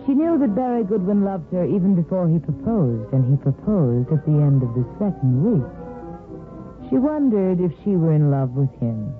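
A man's voice: high voice, very animated, moderate pace. A man reading lyrical, dramatic scripted narration for a 1950s radio soap opera. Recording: tinny vintage radio recording. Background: music.

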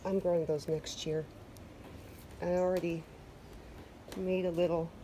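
A Canadian woman with a somewhat low voice chatters easily about her garden haul, filling her talk with little asides and self-mocking remarks. She records outside on a covered deck, and a steady background hiss sits under her voice. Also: measured pace, rough recording. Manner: chatty, self-deprecating, loose fillers. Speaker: vlogger. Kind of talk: casual garden vlog chat. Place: covered wooden deck outdoors.

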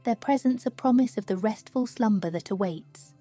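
Soft, mellow woman narrating sleep meditation. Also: a little high. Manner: slow, soothing, hushed delivery. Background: music.

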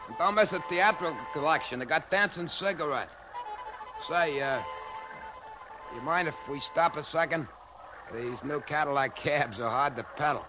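A man's voice: a little high, moderate pace, music in the background, natural voice, poor audio. An actor with an American accent playing a brash, greedy cab driver and gunman in a 1950s radio drama. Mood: chatty, salesy. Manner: brash, colloquial. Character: chatty pedicab driver turned greedy gunman. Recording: 1950s radio drama, faint background noise.

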